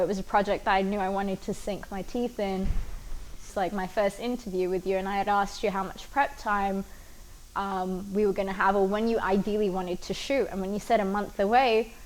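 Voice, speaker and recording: A woman's voice: medium pitch, low-quality recording. Female producer, interview; earnest and reflective, mid-range voice. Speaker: film producer. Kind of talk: casual interview talk.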